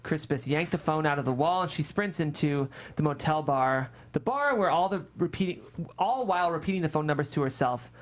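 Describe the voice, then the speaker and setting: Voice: mid-range voice, expressive, brisk, hardly any pauses. A narrator reading scripted screenplay stage directions aloud at a table read.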